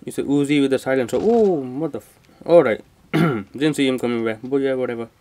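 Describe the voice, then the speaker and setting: A man's voice: mid-range voice, brisk, mellow. Man doing casual gameplay commentary. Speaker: gaming video host. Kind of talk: casual let's play commentary. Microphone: microphone not close to the speaker.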